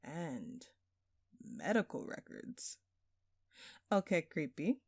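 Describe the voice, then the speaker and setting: Woman acting as a slow, smug, flirtatious thief. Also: deep voice, huge pitch swings, gravelly, a little mellow, husky, no echo, clean studio sound, exaggerated voice. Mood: teasing. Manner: teasing, theatrical, playfully sarcastic. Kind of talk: intimate scripted audio roleplay.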